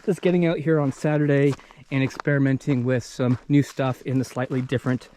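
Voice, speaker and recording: An American man in his thirties talks to a handheld camera out in open country. His pitch is average and his delivery halting, as he thinks aloud on the move. Faint outdoor noise sits behind him. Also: level tone, warm tone. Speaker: vlogger. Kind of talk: casual talk to camera while hiking. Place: outdoors in open desert backcountry.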